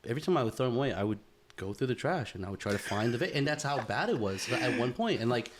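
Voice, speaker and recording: A man's voice: mid-range voice, expressive, fast talker, slight background noise. A young man co-hosting a podcast, chatting casually and telling a story with lots of fillers and laughs. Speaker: podcast co-host. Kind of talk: casual chat.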